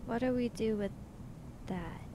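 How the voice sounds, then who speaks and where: A woman's voice: on the high side, a little slow, background noise. A woman improvising in-character talk in a tabletop roleplaying game, with a questioning, skeptical manner.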